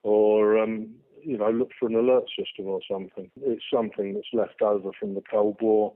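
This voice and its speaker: A man giving a spontaneous interview explanation, hesitant and halting with many filled pauses, over a tinny phone-like line. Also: on the low side, little pitch movement. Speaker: radio enthusiast interviewee.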